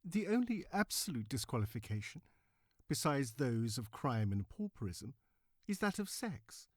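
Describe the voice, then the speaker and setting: A man voicing a cultivated, impassioned suffragist woman orator of the 1900s in formal, emphatic, measured speech. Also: medium pitch, very animated, no echo, studio quality. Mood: indignant, ironic. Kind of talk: dramatic reading of historical speech.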